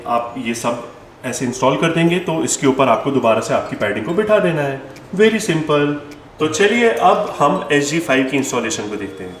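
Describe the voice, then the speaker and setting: A man's voice: mid-range voice, fairly bright tone, a little hiss or hum. An Indian man presenting a hands-on installation tutorial in a conversational, instructive way, repeating key points and mixing in English terms. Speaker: store presenter demonstrating helmet intercom installation. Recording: echoey room with music behind.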